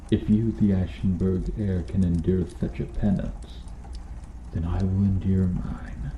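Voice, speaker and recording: A brooding medieval knight, acted by a man, with a deep voice and a slow, solemn delivery. His speech is formal and archaic, protective and full of remorse, in an intimate roleplay style. It sounds in a roomy, echoing space. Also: vocal fry, lo-fi sound, full character voice. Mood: gentle, resolute. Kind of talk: ASMR roleplay, slow dramatic acting addressed to the listener. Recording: roomy echo, faint background noise.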